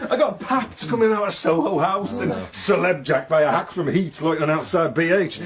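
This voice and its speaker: An actor playing a brash, vain young British radio celebrity in a radio drama, showy, chummy and slangy. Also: male voice, on the high side, moderate pace, lightly acted. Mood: breezy, self-important. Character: brash, vain male celebrity radio presenter, gushing and self-important. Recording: busy room chatter behind voice.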